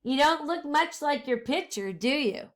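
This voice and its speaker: A woman's voice: sits high, animated, a little slow, voice pushed up. A woman voicing a cranky, hungry, scheming storybook witch with a screechy, demanding manner. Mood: suspicious, furious.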